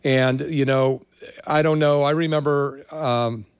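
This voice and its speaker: Older american guy rambling along casually on his show, smooth clear voice, really dry close sound. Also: medium pitch, steady tone, takes it slowly, no echo. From California, USA. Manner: rambling, conversational. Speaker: show host. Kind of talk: casual monologue with personal storytelling.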